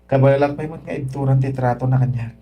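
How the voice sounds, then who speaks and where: A man's voice: mid-range voice, steady tone, fast, lo-fi sound, natural voice. A man with a Filipino accent acting a patient, earnest, dutiful son-in-law in a radio drama. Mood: earnest, troubled.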